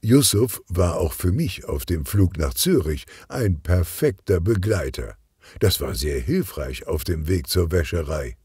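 A man voicing a confident, amused female crime boss in a children's audiobook, self-assured and smooth in manner. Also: low voice, sing-song, unhurried, darkish tone, no echo, clean studio sound, full character voice. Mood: pleased.

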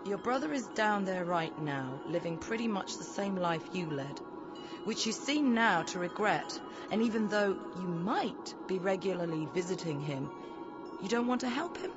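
Woman playing a sardonic patrol officer, very lively pitch. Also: moderate pace, natural voice. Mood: probing. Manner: sarcastic, pointed questioning. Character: sardonic, blunt female afterlife patrol officer. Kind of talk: audio drama acting. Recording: dull lo-fi audio drama recording. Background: background music.

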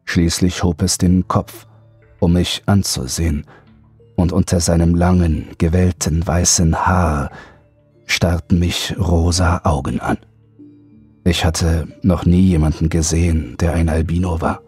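Horror audiobook narrator, male, very low and dark mellow voice, faint music underneath. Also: flat delivery, measured pace, no echo. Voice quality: deep, husky, mellow. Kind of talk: scripted first-person horror narration.

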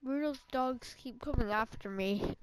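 A woman's voice: on the high side, a little slow, heavy background noise. A young woman chatting casually with a friend while gaming, bossy and prone to swearing. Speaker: gamer. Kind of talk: casual gameplay chat with a friend.

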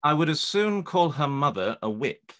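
A young man playing a proud, disdainful Regency gentleman, curt and dry, in a period drama read-through. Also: fairly high pitch, sing-song, normal speed. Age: young adult.

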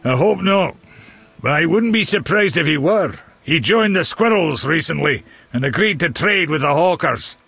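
An actor playing a gruff, hearty dwarf warrior in a fantasy game, raspy, blunt and familiar. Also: male voice, fairly high pitch, expressive, moderate pace, a bit bright, no room sound, exaggerated voice. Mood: frank, friendly. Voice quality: gravelly, raspy. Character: gruff, hearty male dwarf warrior, loyal old pal. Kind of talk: fantasy video game dialogue.

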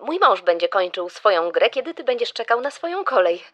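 A scheming wife, acted by a woman in a podcast dramatisation. She is coaxing, with a fairly high voice and very animated intonation. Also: brisk, dull audio. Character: scheming wife phoning an acquaintance.

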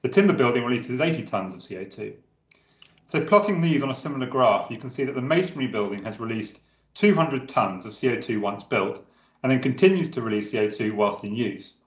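A man narrates a prepared talk in a measured, steady way. His voice sits at a middle pitch. The room around him adds a clear echo. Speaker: presenter. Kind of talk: scripted narration over drawings. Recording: roomy echo.

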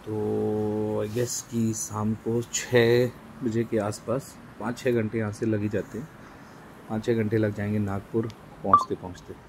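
A man vlogging a road trip from inside a moving car, narrating casually with frequent filler pauses. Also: lowish voice, flat delivery, gravelly. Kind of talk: casual narration while driving. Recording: car with faint road noise.

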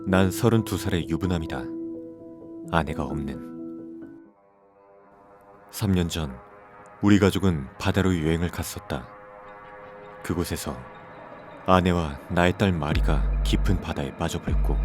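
Male horror narrator, thirties widowed father, acted: troubled, low register, clear music bed underneath. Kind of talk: scripted horror story narration with acted dialogue.

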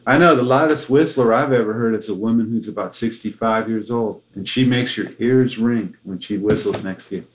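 A man's voice: on the low side, a little fast, hardly any pauses. A man with an American accent asks questions as an interviewer, in a casual, curious and attentive way. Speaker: music journalist interviewer. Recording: muffled interview tape.

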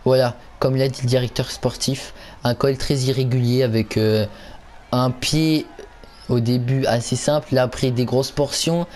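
Hesitant Frenchman with a bright voice. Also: medium pitch, fairly even intonation, a little slow, slight background noise. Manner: hesitant, stumbling, repeats himself. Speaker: gaming channel host. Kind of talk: casual live game commentary.

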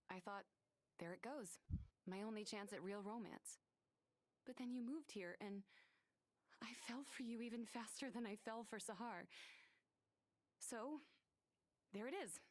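A woman's voice: medium pitch, measured pace, long pauses, no echo, lightly acted. An actress playing an earnest, romantic young woman doctor in a video game, speaking tenderly and expressively. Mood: nervous, smitten. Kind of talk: animated video game cutscene acting.

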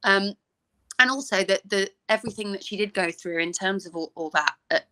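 A woman's voice: mid-range voice, brisk, pushed and bright. A young woman, an actress guest, talking earnestly about playing her role over a video call. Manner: thoughtful, earnest, some hesitant restarts. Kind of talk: casual interview chat.

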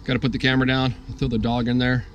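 Relaxed man in his thirties, casual vlog talk, handheld camera at arm's length, faint wind noise at a marina. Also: medium pitch, fast talker, dull audio. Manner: relaxed, easygoing, frequent "uh" fillers. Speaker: sailing vlogger. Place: on a sailboat at a marina, cabin and deck. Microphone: handheld camera held at arm's length.

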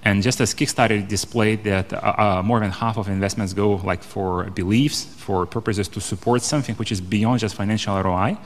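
A man's voice: on the low side, steady tone, fairly quick, hardly any pauses. A man in his thirties or forties from Lithuania speaking off the cuff as a conference panelist, with a hesitant, repetitive delivery. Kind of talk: panel discussion, off-the-cuff argument. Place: indoor conference stage. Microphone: handheld microphone. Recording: reverberant hall through handheld microphone.

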